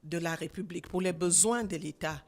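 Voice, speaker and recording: A woman in her sixties with a Gabonese French accent, a guest author speaking earnestly and emphatically in a radio interview, pausing often with fillers. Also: lowish voice. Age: 60s. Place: radio studio.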